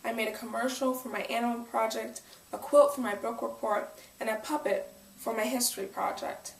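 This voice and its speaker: A woman's voice: a little high, fairly even intonation, normal speed, gravelly, slight background noise. A woman in her twenties with an American accent, giving a prepared autobiographical speech. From United States. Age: 20s. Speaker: speaker, self-published author. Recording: echoey room, distant microphone.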